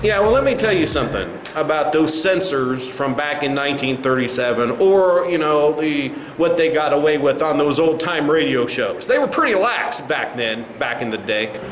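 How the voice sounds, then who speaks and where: Man acting as condescending company spokesman, satirical sketch, high male voice, very animated intonation, smug asides. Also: close to an everyday voice. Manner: smug, patronizing, halting asides. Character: smug, condescending male corporate spokesman addressing angry protesters. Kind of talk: satirical sketch, mock press conference. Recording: roomy echo, faint music behind.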